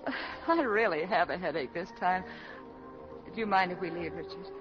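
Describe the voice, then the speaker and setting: An actress playing a gentle, flustered middle-aged mother with hesitant phrasing in a 1940s radio drama. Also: on the low side, moderate pace, over music, natural voice. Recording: muffled 1940s radio recording.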